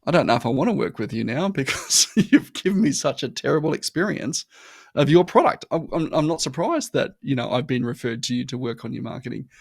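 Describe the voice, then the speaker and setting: Gravelly Australian man, chatty podcast guest. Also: medium pitch, fairly quick, dry recording, studio quality. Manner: animated storytelling, acts out customer voices. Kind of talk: conversational interview.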